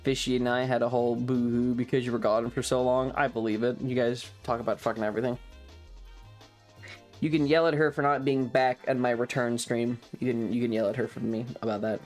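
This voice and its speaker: A young adult streamer talks off the cuff to a live chat. The voice sits at a medium pitch, and the delivery wanders, doubling back on phrases with hesitation. Music plays underneath. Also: flat delivery, brisk, darkish tone. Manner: rambling, hesitant, repeats phrases. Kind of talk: casual livestream chat.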